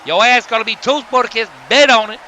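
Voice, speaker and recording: A man's voice: extremely high pitch, steady tone, fast, music in the background. A man playing a brash, boastful bear pimp wrestler who taunts in slangy trash talk.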